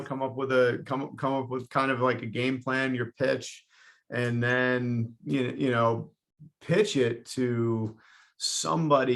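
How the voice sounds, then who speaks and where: A man who co-owns a business, speaking as a podcast guest in halting casual chat with many restarts. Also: mid-range voice, vocal fry, fairly bright tone. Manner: halting, repeats words.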